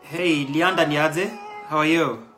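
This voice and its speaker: A man's voice: a little high, fairly even intonation, slow, fairly bright tone, soft background music. A man hosting a casual live-stream chat, greeting viewers and talking with many filler sounds. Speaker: show host.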